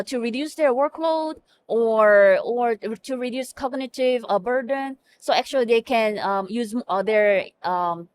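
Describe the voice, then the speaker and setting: A middle-aged woman with a Korean accent, a native Korean speaker and hospital information officer, answering interview questions earnestly with many fillers and restarts. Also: a little high. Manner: earnest, frequent fillers and self-corrections.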